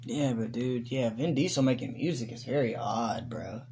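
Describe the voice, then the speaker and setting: A man co-hosting a casual podcast, joking, laughing and swearing a lot while high. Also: moderate pace, gravelly, darkish tone, slight background noise. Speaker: podcast co-host. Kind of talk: casual crude chat.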